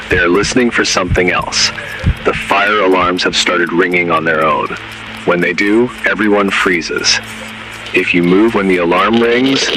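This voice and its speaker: A man narrating analog horror in the grave, measured manner of an emergency announcer. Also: low-pitched, rough recording. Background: music.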